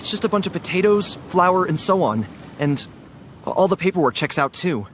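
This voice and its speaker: A man's voice: high voice, lively intonation, fairly bright tone, a little hiss or hum. A man voicing a nervous, stammering crewman in a video game cutscene. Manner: stammering, hurried.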